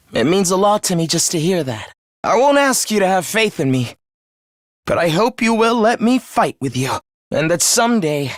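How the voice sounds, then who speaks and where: A man's voice: fairly high pitch, no echo, clean studio sound. A man playing a young video game fighter who is eerily polite and fervent, speaking in theatrical, formal phrases of morbid devotion. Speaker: voice actor. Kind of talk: dramatic video game character acting.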